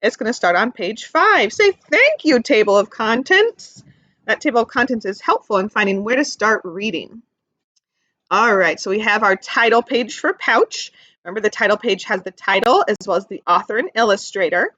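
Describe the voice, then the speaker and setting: A woman teaching through an interactive picture-book read-aloud for young children, animated, asking questions and explaining things simply. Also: mid-range voice, brisk, no echo, dull audio.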